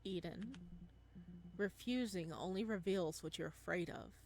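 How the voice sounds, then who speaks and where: A woman voicing a calm, probing male therapist in a visual novel, measured and clinical, with a quietly ominous temper. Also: fairly low pitch. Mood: calm, insistent. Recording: music playing behind the voice.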